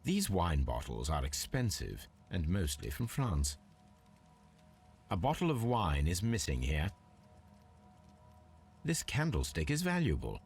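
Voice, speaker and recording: An actor playing a shrewd, composed Victorian English detective in a video game, with a British accent and a measured, precise, formal manner. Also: male voice, deep voice, wildly swooping pitch, faint music behind, heavily acted. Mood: measured, analytical. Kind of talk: video game acting, observations spoken to himself.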